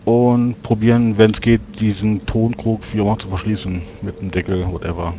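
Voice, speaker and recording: A man with a fairly low voice plays a tabletop role-playing game. He works out his moves aloud in a casual, tentative way. There is faint noise on the recording. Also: little pitch movement, moderate pace, lo-fi sound. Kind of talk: casual tabletop play, describing own actions.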